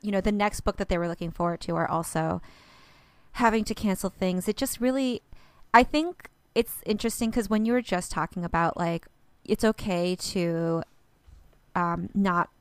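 A woman's voice: on the low side, normal speed, clear, resonant tone, dry recording. Chatty woman podcast co-host. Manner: chatty, laughs often, many fillers. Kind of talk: casual chat.

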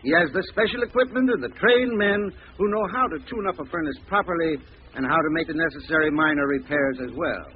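Casting: male old-time radio ad spokesman, fairly high voice, formal and measured, thin hissy sound. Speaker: advertising spokesman, heating expert. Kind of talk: 1940s radio sponsor pitch. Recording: tinny, noisy old radio recording.

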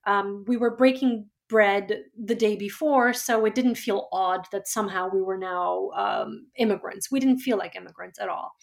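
A woman in her thirties from Georgia, whose first language is Georgian, telling her life story in a reflective, measured way. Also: mid-range voice, vocal fry. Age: 30s. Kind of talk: personal storytelling.

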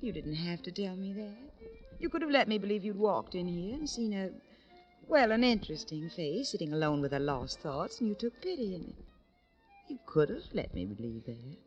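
A woman's voice: mid-range voice, big pitch swings, normal speed, natural voice. Woman playing theatrical, swooping lonely society flirt. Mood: coy, flirtatious. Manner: arch, dramatic, poetic phrasing. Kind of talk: 1950s radio drama acting. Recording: dull old radio recording with music.

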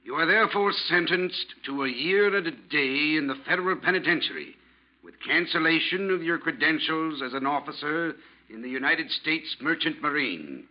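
Stern, higher-pitched court judge male actor. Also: lively intonation, moderate pace, natural voice. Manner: formal, declamatory. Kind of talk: 1940s radio drama acting. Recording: muffled old 1940s radio recording.

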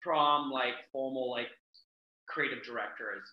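A man's voice: medium pitch, unhurried, heavy reverb, muffled recording, very noisy. A man with an Australian accent chatting casually as a podcast guest, telling personal stories in an emphatic, theatrical way. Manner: theatrical, emphatic, repeats words for effect. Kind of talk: casual chat, animated personal storytelling.

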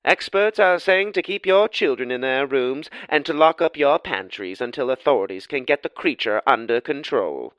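A man's voice: mid-range voice, animated, normal speed, few pauses, fairly bright tone, dry recording, lightly acted. A man voicing a female TV news anchor in a read-aloud story, with a formal newsreader delivery. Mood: urgent.

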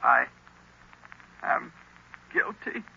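An actor playing a tormented young male army lieutenant under a spell in a 1930s radio drama, halting, strained and pleading. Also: sharp tone, full character voice. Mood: dazed, broken. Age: young adult. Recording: very noisy dull 1930s radio recording.

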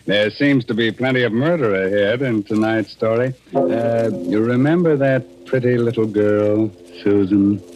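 Low-voiced, gleefully macabre radio host. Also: male voice, unhurried, few pauses, muffled recording, noisy background. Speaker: horror show host. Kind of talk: 1940s radio host banter. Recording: old radio recording. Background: music.

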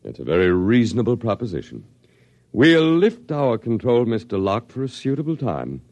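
A swooping, courteous otherworldly official. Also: male voice, mid-range voice, huge pitch swings, a little mellow, no room sound. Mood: coolly formal. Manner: formal, measured, precise. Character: courteous, superior otherworldly male alien official. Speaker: actor in a radio drama. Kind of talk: 1950s radio acting. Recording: muffled old radio recording, music behind.

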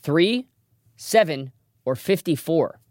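A quiz show host speaks in a medium register with very animated intonation. The delivery is scripted and playful, dropping in wry asides. Music plays clearly behind the voice. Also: mid-range voice, slow pace.

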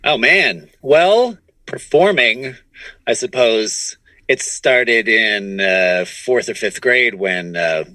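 A man's voice: medium pitch, animated, takes it slowly, bright, forceful tone, dry recording. A middle-aged man being interviewed, telling his story in a casual, conversational way. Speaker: interview guest, comedy writer and voice performer.

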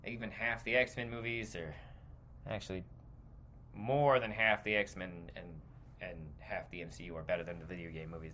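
A man hosting a podcast, delivering a casual, sweary, opinionated rant in a halting stream of consciousness, often repeating words for emphasis. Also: lowish voice, a bit bright. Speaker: podcast host.

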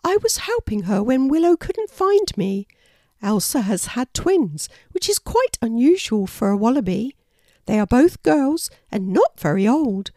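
Storybook casting: woman as an earnest wallaby mum, reassuring and helpful, higher-pitched with lively intonation. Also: unhurried, no room sound, studio quality. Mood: friendly, helpful. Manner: motherly, earnest. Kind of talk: children's storybook character voice.

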